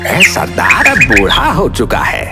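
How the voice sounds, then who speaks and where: A woman voicing an insolent, comfort-loving monkey in an animated fable, dismissive and emphatic. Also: rumbling low, a little slow, exaggerated voice. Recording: loud music behind the voice.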